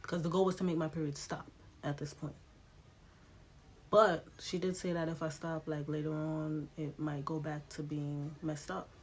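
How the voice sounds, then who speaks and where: A woman's voice: low voice, level tone, normal speed. A woman in her late twenties with a stuffy, congested nose, telling her own story in a candid, chatty vlog, swearing freely and checking in with the viewer. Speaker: vlogger. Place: home room with a TV playing.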